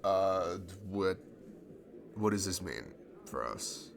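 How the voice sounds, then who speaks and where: A man acting a nervous, smitten young man in an intimate ASMR roleplay, speaking in a halting, stammering, bashful way. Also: sits low, lively intonation, gravelly, background noise, heavily acted. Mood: shy, hopeful. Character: nervous, smitten young man confessing to his childhood best friend.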